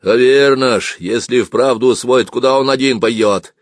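A man voicing a gruff, folksy peasant soldier on sentry duty, with blunt rustic speech in an audiobook. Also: mid-range voice, normal speed, dry recording, studio quality, pitched above the performer's own voice, natural voice. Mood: insistent.

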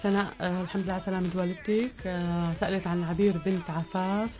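Very fast-talking Lebanese woman astrologer. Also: fairly low pitch, level tone, a little mellow, faint music behind. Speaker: astrologer taking listener calls. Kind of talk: live radio horoscope reading and chat.

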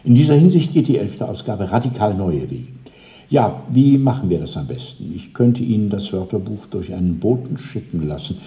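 An actor playing a courteous, calculating senior party official, speaking formally and deliberately in a radio drama. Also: male voice, fairly low pitch, sing-song. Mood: smoothly courteous. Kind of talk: German radio drama acting. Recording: dull, roomy old radio drama recording.

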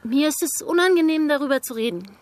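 Reserved young gentlewoman, period radio drama. High voice, earnest, hesitant, quietly stubborn. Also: expressive, quick pace. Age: young adult. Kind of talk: radio drama dialogue, period literary adaptation.

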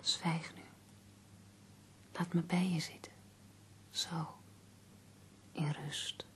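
An actress playing a gentle, devoted young woman in a radio drama, speaking softly and breathily to soothe her lover. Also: deep voice, level tone, drawn-out words, whispered, full character voice. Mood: hushed, tender. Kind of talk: intimate radio drama acting.

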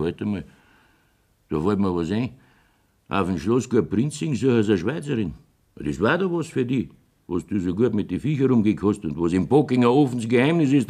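A man's voice: mid-range voice, a little mellow. A jovial, evasive Bavarian village mayor blusters in earthy dialect. His mid-range voice swings widely up and down, in folksy radio play style.

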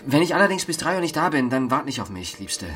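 Timid young male lover, faltering, evasive, lively pitch, music behind, radio play. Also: fast. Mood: nervous, evasive. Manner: hesitant, faltering. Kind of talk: radio drama acting.

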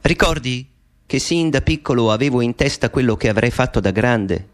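A man's voice: medium pitch, moderate pace, close to an everyday voice. An actor playing a middle-aged man speaking an intimate, wistful monologue to his mother in a radio play. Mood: reflective.

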